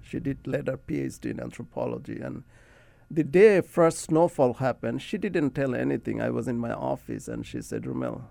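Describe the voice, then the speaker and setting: Middle-aged male interview guest, Bangladeshi accent, very creaky voice with animated intonation, casual anecdotal storyteller. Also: mid-range voice, normal speed, no echo. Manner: chatty, anecdotal, often adds "you know". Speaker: interview guest, academic researcher.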